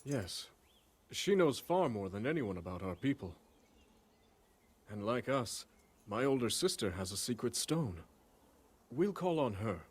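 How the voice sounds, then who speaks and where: An actor playing a wise non-human king, speaking in a calm, reassuring, deliberate way. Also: medium pitch, breathy edge. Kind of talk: game cutscene voice acting.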